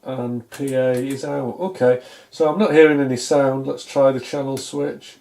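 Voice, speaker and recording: A man's voice: mid-range voice, steady tone, moderate pace, a little mellow. A middle-aged British man giving casual, unscripted repair commentary, musing aloud with dry, self-deprecating humour. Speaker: electronics repair hobbyist, presenter. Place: small indoor home electronics workshop, at a bench.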